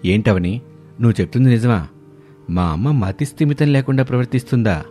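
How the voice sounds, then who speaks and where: A man's voice: medium pitch, a little fast, warm tone, natural voice. A man voicing a puzzled, earnest husband in a cartoon family story. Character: grown sons, puzzled husbands in a family tale. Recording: music under the voice.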